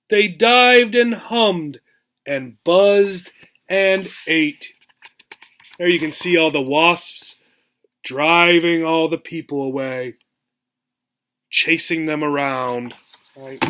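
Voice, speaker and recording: A man teacher reads a children's rhyming story in a high, bright voice. He goes slowly and expressively, explaining things simply and checking understanding with a short tag question. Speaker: teacher reading aloud to English learners.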